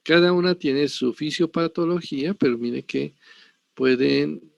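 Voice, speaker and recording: Gravelly Colombian man lecturing. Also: a little high, a little slow, dry recording, highs cut off. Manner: digressive, frequent tag questions. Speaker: university lecturer. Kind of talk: online pathology lecture.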